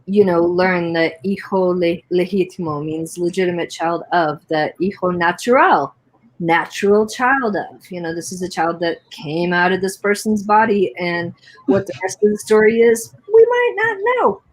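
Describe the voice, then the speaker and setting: A woman's voice: lowish voice, animated, normal speed, rough recording. An American woman from Indiana hosting a casual video chat, talking in an encouraging way with many fillers and restarts. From Indiana, USA. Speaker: host.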